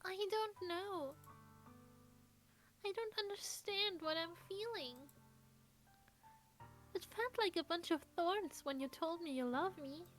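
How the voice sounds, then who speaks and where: Teenage anime girl, visual novel game, extremely high voice, unhurried, shy, sad, tender, hesitant. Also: faint music behind. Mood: anxious, fragile. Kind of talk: visual novel character voicing.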